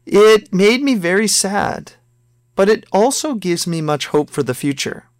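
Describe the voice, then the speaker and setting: A man reading a scripted listening exam, both the dialogue lines and the numbered questions, in a slow, careful textbook manner. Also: high-pitched, very animated, dry recording, studio quality. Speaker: listening test reader.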